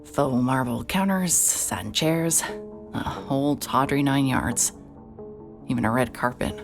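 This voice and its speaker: A young woman with a strikingly deep voice tells a horror audio drama in first person. She sounds tense and breathless, slipping into whispers and then shouting without warning. Faint music plays underneath her. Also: unhurried, vocal fry. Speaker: narrator and lead character.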